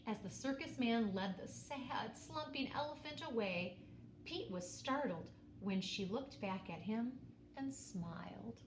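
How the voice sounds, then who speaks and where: Animated woman reading a storybook aloud, roomy echo. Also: on the high side. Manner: dramatic, with pauses and emphasis on key words. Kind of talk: expressive children's read-aloud narration. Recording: roomy echo, faint background noise.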